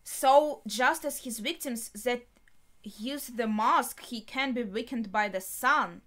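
A young adult woman giving spontaneous reaction commentary, thinking aloud in short, halting remarks. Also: a little high, fairly bright tone. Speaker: anime reaction vlogger. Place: small bedroom, indoors.

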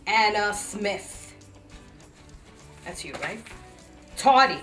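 A woman's voice: medium pitch, lively intonation, drawn-out words, a bit bright, soft background music. A woman playing a mean, petty store manager, scolding and threatening in a comedy sketch. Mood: scolding, menacing. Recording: echoey room, distant mic.

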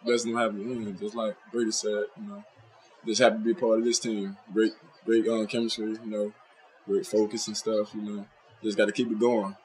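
A young college football player answers reporters after a game, seated at a press table. His voice is on the low side and easygoing, polite, with plenty of ums. A faint room hum sits behind him. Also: fairly quick, low-quality recording. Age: young adult. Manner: relaxed, polite, many ums and you knows. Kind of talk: post-game interview answers.

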